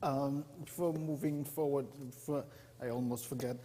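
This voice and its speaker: A man from India, giving an earnest, explanatory mission presentation in church, often pausing to find his words. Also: mid-range voice, fairly even intonation, warm tone. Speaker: mission speaker. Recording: long reverb in a church hall.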